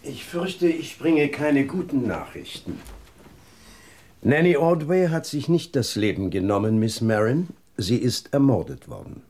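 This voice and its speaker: An actor in a German radio play voicing a shrewd, relentless police detective with a formal, insistent manner. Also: male voice, medium pitch, lively intonation, unhurried, darkish tone. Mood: grave, pressing.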